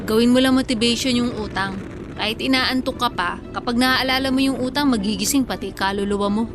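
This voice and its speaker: An actress playing a tough, frank female tricycle driver, guarded in love, with brisk plain-spoken banter in a radio drama. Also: on the high side, lightly acted. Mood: teasing. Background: music.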